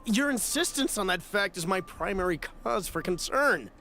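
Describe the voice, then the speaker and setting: A woman's voice: mid-range voice, lively intonation, moderate pace, fairly bright tone. A woman voicing a cautious, anxious shop clerk in a video game, hesitant and polite. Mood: worried. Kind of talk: video game character dialogue.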